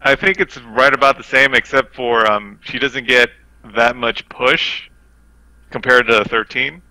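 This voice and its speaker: A man's voice: medium pitch, unhurried, pushed and bright, no room sound, poor audio. A man casually discussing a fighting game, explaining things in detail as a guest on a talk show.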